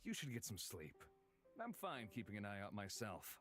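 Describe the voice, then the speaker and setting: Man playing a low-voiced, guarded male adventurer. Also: big pitch swings, normal speed, fairly bright tone. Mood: concerned, careful. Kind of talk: dramatic visual novel voice acting. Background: background music.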